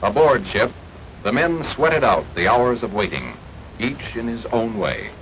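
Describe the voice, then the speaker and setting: A man's voice: mid-range voice, sing-song, unhurried, fairly bright tone. An American man narrating a 1940s wartime propaganda film from a script, in a formal, declamatory and emphatic way. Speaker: newsreel-style documentary narrator. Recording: noisy archival 1940s film soundtrack.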